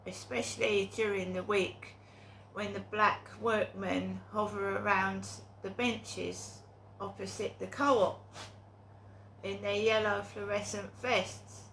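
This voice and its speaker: A woman's voice: medium pitch, fairly even intonation, slow pace, a bit bright, a little hiss or hum, low-quality recording. A middle-aged woman with a cold and sore throat, talking in a rambling, hesitant monologue full of ums and restarts. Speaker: vlogger.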